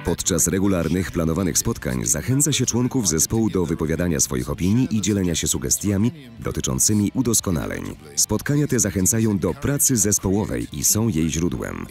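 A man reading a scripted corporate narration in a measured, formal and articulate manner, recorded in a studio. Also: deep voice, very animated, dark tone. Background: faint music.

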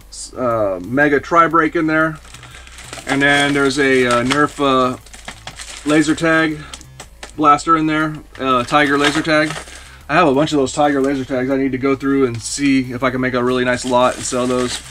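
A man in his forties casually talking through thrifted toys in a small shelf-lined room, relaxed and rambling with many fillers. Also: a little high, a little hiss or hum. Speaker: toy reseller vlogger. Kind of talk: casual haul video. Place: small indoor toy room lined with shelves.

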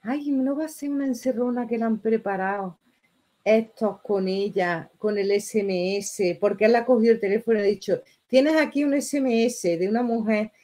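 A middle-aged woman with a Spanish accent from Spain reacts out loud in a casual, chatty style. Her voice sits fairly high for a woman and her delivery is expressive and full of exclamations. She is recorded in a small room at home. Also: normal speed. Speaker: reaction video host. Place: small bedroom indoors.

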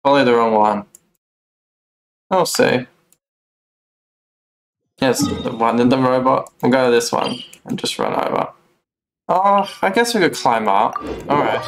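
A young man giving casual, unhurried gameplay commentary, musing to himself as he plays. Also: medium pitch, expressive, slight background noise. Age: young adult. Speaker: game streamer. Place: small room indoors at home. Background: game music.

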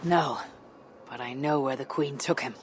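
A grave Viking leader. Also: female voice, a bass voice, long reverb tail, slight background noise. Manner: measured, grave. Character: stern Viking woman leader, honor-bound.

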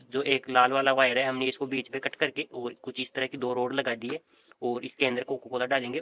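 A man's voice: mid-range voice, bright, forceful tone. A man in his twenties hosts an experiment video outdoors on a rooftop, talking to his viewers as "guys" and explaining each step of the setup. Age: 20s. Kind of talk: casual experiment vlog narration. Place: outdoors on a sunny rooftop terrace.

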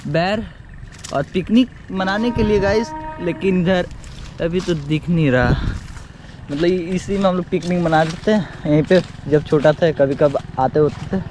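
Chatty young man vlogging outdoors. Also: high-pitched. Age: young adult. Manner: chatty, repeats "guys" often, addresses viewers. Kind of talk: casual walking vlog. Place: outdoors in dry scrub woodland by a road. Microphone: phone camera at arm's length, selfie framing. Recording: clear outdoor noise behind.

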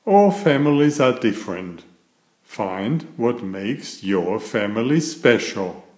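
A man reading aloud in a deliberate, expressive children's storytelling style, as a narrator and host. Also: fairly low pitch. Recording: roomy, echoing room sound.

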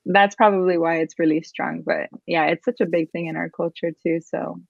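Casual woman in her 30s, low-ish voice, webcam audio, a bit muffled. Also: steady tone, normal speed, vocal fry, dry recording. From Miami, Florida. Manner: chatty, laughs easily, many "like" and "um" fillers. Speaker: guest photographer. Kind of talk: casual video-call interview. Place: home room with a chair. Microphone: webcam on a video call. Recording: dull, slightly lo-fi video-call audio.

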